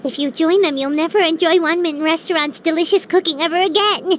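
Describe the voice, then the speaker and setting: A woman voicing a tiny, excitable female companion sprite who talks about herself in the third person, in a game cutscene. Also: very high-pitched, brisk, a little hiss or hum. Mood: teasing. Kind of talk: video game cutscene dialogue. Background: faint game music.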